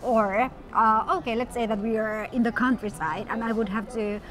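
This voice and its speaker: A middle-aged woman from Finland, interpreting a guest's words in first person with steady, slightly formal explanation. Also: on the high side, normal speed, poor audio. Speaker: sign language interpreter voicing the guest. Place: outdoor courtyard at a busy event. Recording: outdoor event with crowd noise. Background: crowd chatter.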